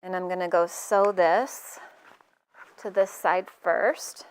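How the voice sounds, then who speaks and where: A woman's voice: lowish voice, steady tone, takes it slowly, a little hiss or hum, rough recording. A middle-aged woman hosting a live sewing tutorial in her studio, chatting casually and joking at her own expense as she works. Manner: chatty, self-deprecating, jokey asides.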